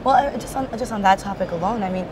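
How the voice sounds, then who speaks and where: A woman's voice: medium pitch, fast talker. A woman interviewing a guest in a casual, affirming business conversation. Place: busy hosting industry event. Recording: noisy event location, traffic-like ambient noise.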